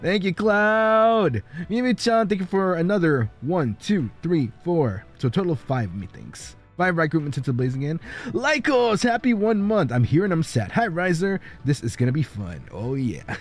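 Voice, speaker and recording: Lively streamer man over faint music. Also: on the high side, normal speed. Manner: animated, thanks viewers by name, laughs often. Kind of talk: casual livestream chat. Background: faint background music.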